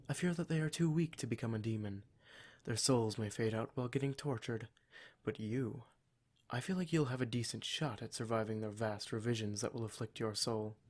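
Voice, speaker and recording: A man's voice: on the low side, lightly acted. A man playing a cruel, smug demon in a scripted ASMR roleplay, speaking in a formal, mock-polite and menacing way. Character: cruel, smug male demon, taunting captor of adventurers.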